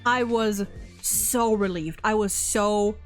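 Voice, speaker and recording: A woman's voice: medium pitch, slow, faint music behind. A woman chatting casually as host about a video game, emphatic and opinionated, swearing freely. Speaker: host of a game story ranking show.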